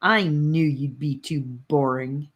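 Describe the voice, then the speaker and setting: A woman voicing a playful, sulky, excitable young boy in an audiobook, speaking bluntly with some whine. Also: sits low, slow pace, breathy edge, highs cut off, exaggerated voice. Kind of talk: audiobook character voice.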